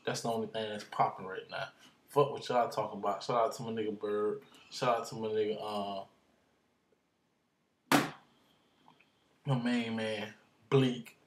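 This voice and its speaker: A young man talking into his webcam in a dark bedroom, bragging in slang, half-singing some lines. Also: lowish voice, fairly even intonation, measured pace, long pauses, reverberant, slight background noise. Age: young adult. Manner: boastful slangy banter, drifts into half-sung lines and laughs. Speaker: vlogger. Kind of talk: casual rambling vlog. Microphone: computer webcam up close.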